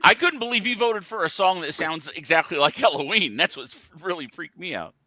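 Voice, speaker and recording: A man co-hosts a casual music podcast and gives blunt, sardonic takes. His pitch swings widely as he talks. His voice is bright and the sound is dry. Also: animated, fairly quick, dry recording. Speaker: podcast co-host.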